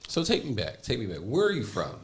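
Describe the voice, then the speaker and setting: A man hosting a casual podcast interview, opening brightly and prompting his guest with quick questions and frequent restarts. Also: mid-range voice, huge pitch swings, gravelly. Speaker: podcast host.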